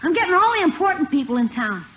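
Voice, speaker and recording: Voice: sits high, very animated, fast. An actor playing an eager, cheeky, slangy schoolboy nephew in a 1950s radio sitcom. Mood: eager, salesmanlike. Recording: muffled, noisy 1950 radio recording.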